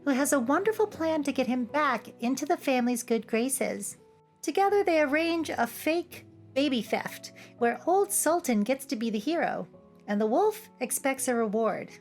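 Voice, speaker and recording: Female video essayist, high voice, cheeky scripted commentary with music underneath. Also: very animated, normal speed, darkish tone. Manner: playful, cheeky asides. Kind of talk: scripted, humorous commentary over cartoon clips. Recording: music behind the voice. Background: background music.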